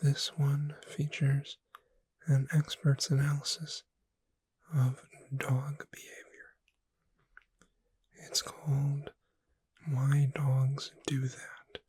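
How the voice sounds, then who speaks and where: A woman playing a gentle witch bookseller in a soothing, hushed, whispered ASMR roleplay, close to the microphone. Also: rumbling low, completely flat delivery, very slow, long pauses, no echo, heavily acted, low-quality recording. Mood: calm, gentle. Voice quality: breathy whisper. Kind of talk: soft-spoken ASMR roleplay. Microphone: close microphone in a dry space.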